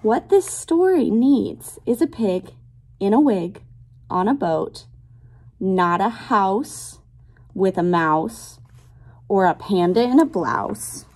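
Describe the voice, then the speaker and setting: A woman in her twenties, a teacher reading a picture book aloud to young children in a deliberate, child-directed way. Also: mid-range voice, expressive, darkish tone. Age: 20s. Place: small classroom, indoors.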